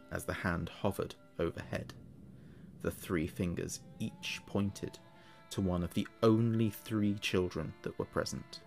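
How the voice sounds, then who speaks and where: A man narrating a scripted horror story in a measured, dramatic and ominous manner. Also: sits low, vocal fry. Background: music.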